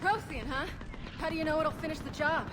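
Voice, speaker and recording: A woman's voice: sits high, a little fast, noisy background, close to an everyday voice. A woman playing a battle-hardened, defiant army lieutenant in a video game, urgent and commanding. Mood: weary, skeptical. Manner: urgent, barking orders. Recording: music and gunfire behind the voice.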